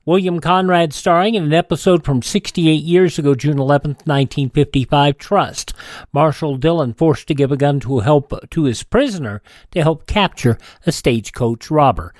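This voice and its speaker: A man with a slightly high voice and an American accent reads from a script as a radio host. His phrasing is measured, in the manner of a news announcer. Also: a little mellow, dry recording, studio quality.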